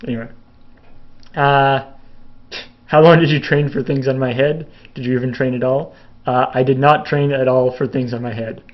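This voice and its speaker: A young man from Canada chats casually while he answers viewer questions. His voice sits at an ordinary middle pitch and wanders through fillers and restarts. The sound is a bit dull and lo-fi. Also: steady tone, moderate pace, pauses often. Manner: rambling, many fillers and restarts, laughs a little. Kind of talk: casual live Q&A chat.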